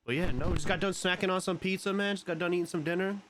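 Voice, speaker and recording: Casual male streamer, slightly high voice. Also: fairly even intonation, fast talker, a bit bright, slight background noise. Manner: informal, slangy. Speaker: livestream host. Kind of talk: casual reaction chat to a video and viewers.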